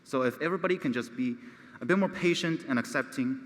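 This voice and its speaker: A man's voice: mid-range voice, fast talker. A teenage boy who stutters, giving an earnest prepared speech at a gala. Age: teens. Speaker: gala speaker. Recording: long hall reverb.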